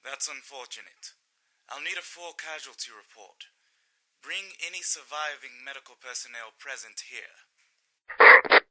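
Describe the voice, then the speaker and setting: A man's voice: medium pitch, measured pace, long pauses, bright, forceful tone, low-quality recording. A man playing a stern military superior in an audio drama, giving orders in a clipped, detached, authoritative way. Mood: cool, detached.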